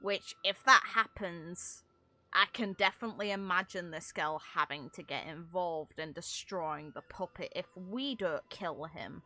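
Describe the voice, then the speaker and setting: A woman's voice: fairly low pitch, unhurried, bright, forceful tone, soft background music. A woman talking casually and analytically through game lore, with opinionated, rambling asides. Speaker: content creator commentating on a game.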